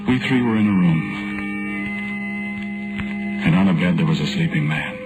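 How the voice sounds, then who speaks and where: A low-pitched, haunted radio narrator. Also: male voice, animated. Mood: awed. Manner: dramatic, poetic, deliberate. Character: haunted, wrongly condemned man narrating his ghostly journey. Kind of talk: 1940s radio horror acting. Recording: vintage radio recording with loud music.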